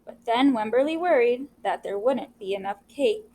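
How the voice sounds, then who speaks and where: A woman's voice: on the high side, moderate pace. A woman reading a picture book aloud to children, adding short explanations as she goes. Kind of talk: read-aloud storytelling with commentary for children. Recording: clear steady background noise.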